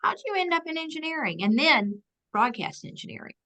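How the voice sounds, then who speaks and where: A woman's voice: sits high, very animated, fairly quick, bright, forceful tone. A woman from Alabama, hosting a conversational interview and asking friendly, encouraging questions. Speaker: interviewer, association president.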